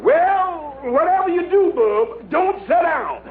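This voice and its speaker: An actor playing a bumbling, brash lawyer with hemming, folksy talk in an old radio sitcom. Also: male voice, very high voice, animated, takes it slowly, over a noisy crowd, full character voice. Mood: brash, joking. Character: bumbling, brash shyster lawyer, comic and loud. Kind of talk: 1940s radio sitcom acting. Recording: tinny old radio recording, loud audience, heavy noise.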